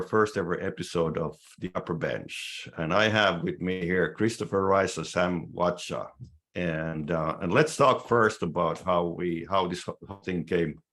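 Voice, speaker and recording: Low-voiced Finnish man in his 60s. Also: a bit bright. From Finland. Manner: halting, restarts phrases often. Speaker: podcast host. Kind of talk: casual podcast chat.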